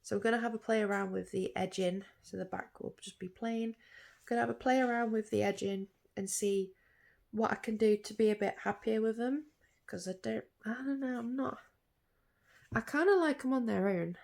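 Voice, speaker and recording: Late-20s woman vlogger, congested with hay fever, rambling and self-correcting, a gravelly edge. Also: fairly high pitch, normal speed, darkish tone. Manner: rambling, thinking aloud with fillers and self-corrections. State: stuffy-nosed from hay fever. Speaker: artist vlogger. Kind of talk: casual reflective vlog chat.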